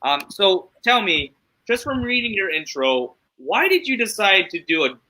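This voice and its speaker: Male host, upbeat and friendly, bright and a little high. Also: normal speed, poor audio. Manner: upbeat, friendly, lively interjections. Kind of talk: casual interview chat.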